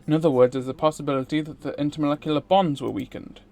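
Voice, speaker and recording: A man's voice: fairly high pitch, steady tone, fast talker, darkish tone. A man streaming a visual novel, reading it aloud theatrically and dropping in casual asides. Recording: music behind the voice. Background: game music.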